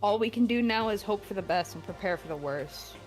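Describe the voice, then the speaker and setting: A woman's voice: mid-range voice, expressive, fairly quick, darkish tone, faint music behind. A woman playfully reading game dialogue aloud and chatting among friends. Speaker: gaming video participant. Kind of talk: playful group read-aloud.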